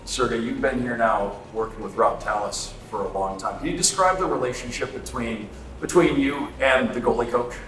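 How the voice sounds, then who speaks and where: A man's voice: mid-range voice, normal speed, a bit bright, faint music behind. A man working as a sports interviewer, asking questions in a conversational sit-down interview. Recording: echoey room with faint ambient noise.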